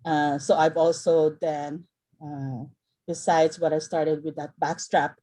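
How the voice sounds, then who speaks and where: A woman's voice: low-pitched, level tone, slow, dark tone. A middle-aged woman from the Philippines, chatting casually on a video call, rambling with fillers and restarts. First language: Filipino. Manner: rambling, many fillers and restarts, laughs often. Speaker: workshop participant.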